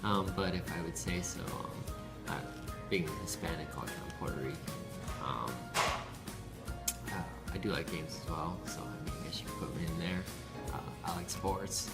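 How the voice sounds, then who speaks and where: A young college man from Chicago answers interview questions, pausing often and filling gaps with "um." His voice is deep. Music plays behind him. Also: gravelly. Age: young adult. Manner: hesitant, lots of fillers. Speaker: student interviewee.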